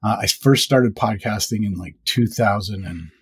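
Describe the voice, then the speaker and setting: Husky, reflective man in his fifties. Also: on the low side, moderate pace. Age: 50s. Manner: reflective, rambling with many fillers. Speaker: podcast host and guest. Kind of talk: casual interview conversation.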